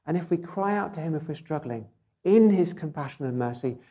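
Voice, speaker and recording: A preacher with a quiet, relatively weak voice, giving a gentle, measured sermon in church through a microphone and speaker system, often asking listeners rhetorical questions. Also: medium pitch, expressive.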